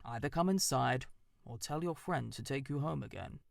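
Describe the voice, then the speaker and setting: A man voicing a stern, formal male owl with a deep, sonorous voice, speaking slowly in a storybook reading. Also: gravelly, no room sound, studio quality. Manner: formal, slow and measured.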